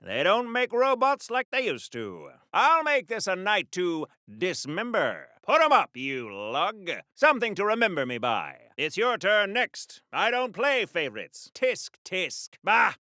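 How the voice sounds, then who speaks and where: A patriotic, old-fashioned American superhero from a video game. His voice is high and forcefully bright, recorded very dry. He declaims with wholesome, pompous righteousness in the exclamatory style of a 1940s radio announcer. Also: wildly swooping pitch, measured pace, no room sound, exaggerated voice. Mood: defiant, taunting. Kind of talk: 1940s radio serial hero acting.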